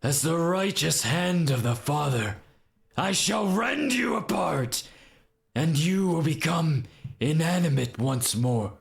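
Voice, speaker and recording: A man's voice: a little high, very animated, takes it slowly, creaky, pitched above the performer's own voice, full character voice. A man voicing a proud, wrathful angel warrior from a game, in grandiose, archaic declamation with some reverb. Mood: righteous command. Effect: added reverb and delay.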